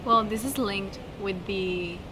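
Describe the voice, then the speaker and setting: 21 yo woman, talks slow, looks for words, noisy hissy audio. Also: medium pitch. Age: early 20s. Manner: unhurried, searches for English words. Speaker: college student co-host. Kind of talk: casual Q&A chat. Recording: noisy background hiss.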